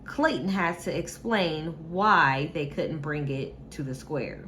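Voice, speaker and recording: A woman reading a children's story aloud with measured, expressive delivery, like a teacher. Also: sits low, slight background noise.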